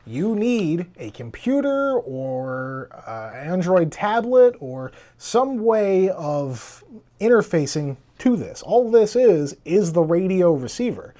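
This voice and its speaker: A man's voice: sits high, big pitch swings, slow pace, warm tone. A man giving a casual hands-on gadget review in a conversational, explanatory way. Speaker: tech reviewer.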